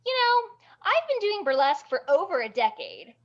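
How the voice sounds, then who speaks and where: Woman acting bubbly bossy burlesque gal, super high pitched, blunt, kinda muffled audio. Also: huge pitch swings, normal speed, fairly bright tone, exaggerated voice. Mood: confident, challenging. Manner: gushing, bossy, foul-mouthed, emphatic. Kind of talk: animated table-read acting.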